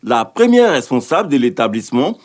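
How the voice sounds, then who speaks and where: A man's voice: mid-range voice, sing-song, a little fast, a little mellow. A man narrating a scripted news report as a television reporter.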